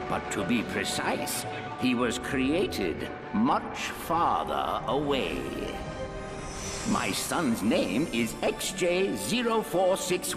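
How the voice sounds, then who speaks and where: A gentle, proud father narrating a video game cutscene. He speaks slowly and wistfully with hugely varied pitch, in long reverb over loud music. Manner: measured, wistful storytelling.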